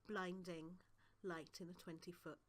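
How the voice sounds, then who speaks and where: A woman narrating as game master of a tabletop game, often laughing, her voice slightly husky. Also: lowish voice, level tone, a little slow. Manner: laughs often, calls for dice checks.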